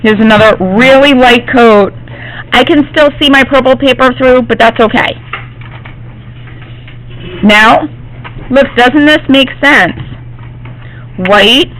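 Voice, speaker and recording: A woman art teacher, slightly hoarse from losing her voice, gives a classroom demonstration and often checks in with her students. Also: high voice, takes it slowly, long pauses, a bit bright, a little hiss or hum, poor audio. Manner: reassuring, checks in with "okay?".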